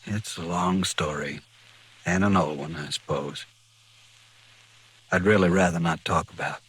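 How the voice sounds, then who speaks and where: An actor playing a courteous, resigned newcomer to the Old West, quietly resolute and doomed, in a vintage radio western. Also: male voice, low voice, fairly even intonation, measured pace, breathy edge, full character voice. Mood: grim resolve. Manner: polite, resigned, soft-spoken understatement. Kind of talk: 1950s radio western acting. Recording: vintage radio drama recording.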